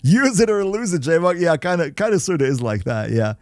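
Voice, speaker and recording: Man, German accent, young adult, gaming stream host, chatty, lively pitch, dry close mic. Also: brisk, no room sound. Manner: chatty, reads and answers chat, laughs often. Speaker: livestream host. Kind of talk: casual gaming livestream chat.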